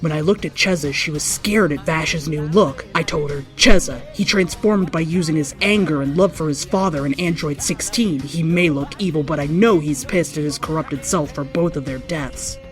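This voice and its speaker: A woman's voice: low-pitched, moderate pace, hardly any pauses. A woman reading a fan-written story aloud as a dramatic narration. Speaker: fanfiction reader. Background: background music.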